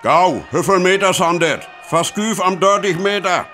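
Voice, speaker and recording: Actor as high-pitched artillery officer, terse orders. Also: male voice, measured pace, sharp tone, soft background music. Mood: tense. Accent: North Frisian. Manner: terse military commands. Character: male artillery officer barking range and firing orders. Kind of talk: radio play acting.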